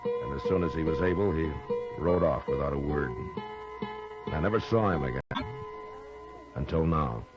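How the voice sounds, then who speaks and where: An actor playing a steady, world-weary middle-aged marshal in a 1950s radio western, speaking in measured, terse phrases. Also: male voice, extremely low pitch. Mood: somber, reflective. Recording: muffled old radio recording with music.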